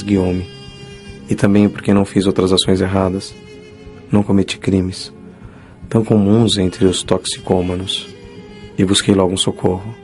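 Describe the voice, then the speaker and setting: A man playing a remorseful young spirit, a recovered addict, in an earnest, measured dramatized audiobook. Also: deep voice, a little mellow, lightly acted.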